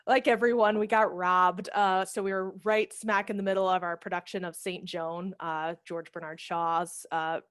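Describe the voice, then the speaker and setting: An American woman in her thirties speaks in a slightly low voice. She is chatty and enthusiastic, like an interview guest on a video call. Her headset mic gives a dry sound with little room in it. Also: steady tone, moderate pace, hardly any pauses, a bit bright, clear, resonant tone, dry recording. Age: 30s. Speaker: theater managing director and actor, interview guest.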